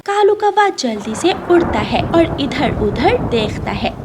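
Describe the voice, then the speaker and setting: Woman, very high pitch, scripted children's story narration, loud rain and thunder background. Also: sing-song, a little slow. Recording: loud storm noise behind.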